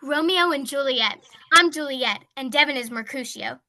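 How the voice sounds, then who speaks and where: A female performer playing an excited, theatrical schoolgirl daughter in an audio play. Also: high voice, normal speed.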